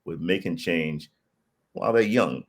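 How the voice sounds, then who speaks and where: Deep gravelly man, thoughtful guest. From Liberia. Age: middle-aged. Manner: thoughtful, measured, frequent uh fillers. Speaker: interview guest. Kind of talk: reflective conversational storytelling.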